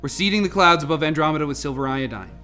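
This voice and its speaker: A man's voice: on the high side, lively intonation, racing, sharp tone, soft background music. A man acting in an audio drama as a composed, earnest scientist who explains things precisely. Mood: reassuring, explanatory. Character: composed, earnest male scientist on a crisis team.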